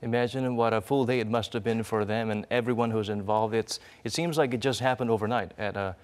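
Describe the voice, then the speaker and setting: A man anchoring a live news discussion, commenting and asking questions in deliberate, reflective, wordy phrasing. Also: fairly low pitch, steady tone, darkish tone.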